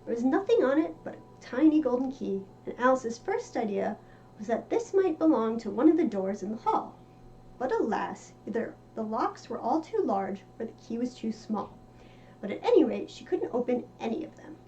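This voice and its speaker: High, lilting woman reading a storybook. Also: sing-song, moderate pace, warm tone, highs cut off, a little hiss or hum. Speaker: storybook reader. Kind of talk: expressive children's story read-aloud.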